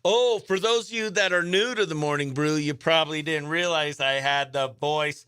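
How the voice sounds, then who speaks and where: A man's voice: on the high side, normal speed, a bit bright, smooth, no room sound. A man hosting a country radio morning show, presenting live and chatting in a hyped, showy, playful announcer's manner.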